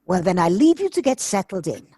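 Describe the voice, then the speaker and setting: A woman acting in an audio play as a kindly, fondly reminiscing villager, with a slightly husky voice. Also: lowish voice, sing-song, a little fast, warm tone, dry recording. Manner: fond, reminiscing.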